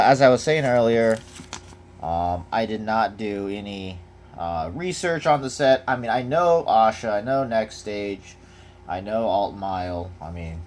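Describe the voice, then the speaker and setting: Casting: young man, deep and unhurried, easygoing unboxing chatter, background hiss and crinkling. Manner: rambling, relaxed, many fillers. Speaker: card collector unboxing. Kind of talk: casual unboxing commentary. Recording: noisy home recording. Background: crinkling of packaging.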